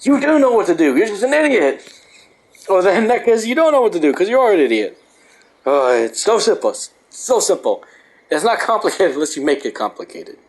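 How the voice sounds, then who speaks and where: A man's voice: high-pitched, big pitch swings, fairly quick. A young man reading a book aloud and breaking off into rambling, profane rants. He says he is losing his voice. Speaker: host.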